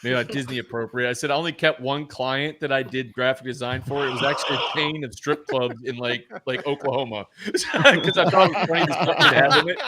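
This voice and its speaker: A man's voice: medium pitch, brisk, hardly any pauses, sharp tone, a little hiss or hum. A man co-hosting a casual video-call chat, telling anecdotes in a joking way and laughing through them.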